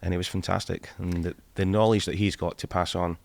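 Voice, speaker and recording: Man, deep monotone, earnest, restarts, dry room. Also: fairly quick, creaky, no echo. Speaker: co-host, former coach. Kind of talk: podcast interview.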